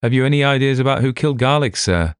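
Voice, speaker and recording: A man's voice: mid-range voice, animated, fast, smooth, no room sound, clean studio sound, voice pushed up, close to an everyday voice. A man voicing a polite, long-suffering young police sergeant who speaks carefully. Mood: earnest.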